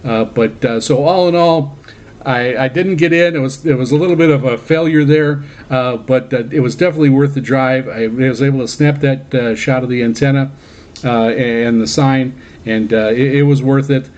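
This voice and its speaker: Halting male radio host, dull lo-fi sound. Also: medium pitch, a little mellow. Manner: halting, frequent uhs and repeated words. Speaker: host of a shortwave radio hobby program. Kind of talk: casual radio monologue recounting personal trips. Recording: dull, lo-fi band-limited audio.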